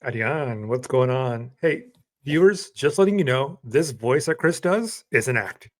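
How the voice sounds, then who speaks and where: Relaxed rambling male podcast co-host. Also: mid-range voice, unhurried. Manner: relaxed, rambling, filler-heavy. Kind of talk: casual podcast chat.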